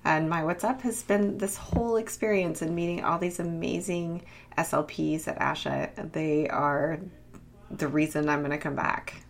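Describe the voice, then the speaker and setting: A woman in her twenties co-hosting a casual podcast from a rented apartment, relaxed and quick to laugh. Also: low-pitched, creaky. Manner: laughs often, relaxed, fillers. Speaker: podcast co-host.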